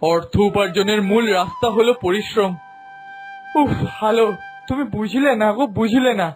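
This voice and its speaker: A man's voice: high-pitched, normal speed, faint music behind, natural voice. A man voicing an earnest, anxious husband in a cartoon fairy tale, pleading and moralizing with emphasis. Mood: reproachful.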